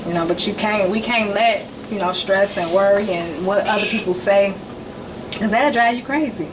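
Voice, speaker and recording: A woman in her forties chatting casually as a podcast guest, talking about her work in short relaxed phrases. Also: mid-range voice, normal speed. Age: 40s. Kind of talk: casual chat. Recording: noisy, distant mic.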